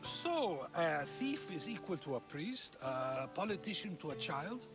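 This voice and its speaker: A man's voice: a little high, very animated, a little slow. A man playing a playful, probing philosopher in a video game, theatrical and teasing. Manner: theatrical, teasing, rhetorical questioning. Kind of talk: video game cutscene acting. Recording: music behind.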